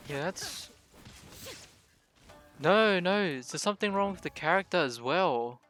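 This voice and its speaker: A man's voice: sits high, lively intonation, a little slow, fairly bright tone. A man giving casual live commentary as he streams a game, reacting in short muttered remarks. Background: game music.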